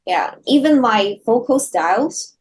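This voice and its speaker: A woman from Hong Kong talking as an interview guest over a video call, soft-spoken and halting, often laughing. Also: a little high, animated, slight background noise. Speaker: guest singer. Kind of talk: casual interview chat.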